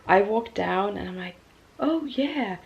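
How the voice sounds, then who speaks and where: A woman's voice: medium pitch, animated, slow, vocal fry, slightly rough, highs cut off. A woman vlogging casually to her camera, stuffy and losing her voice, rambling with many ums and restarts. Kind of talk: casual talking-to-camera vlog.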